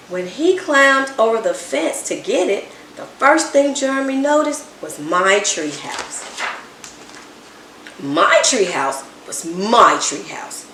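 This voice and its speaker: A woman reading a children's story aloud with expressive, animated first-person storytelling. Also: high-pitched. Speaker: storybook reader. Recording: roomy echo, faint background hiss.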